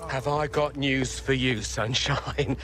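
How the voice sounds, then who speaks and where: A man's voice: medium pitch, fairly even intonation, slow, fairly bright tone. A British man playing a slick, chummy football agent with slangy, persuasive talk in a video game cutscene. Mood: gleeful. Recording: music behind the voice.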